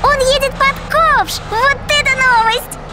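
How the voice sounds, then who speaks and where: A woman voicing a curious, excitable little girl sidekick in a children's cartoon, exclaiming and asking questions. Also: very high voice, unhurried. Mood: excited. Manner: exclamatory, inquisitive. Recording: noisy engine sounds behind.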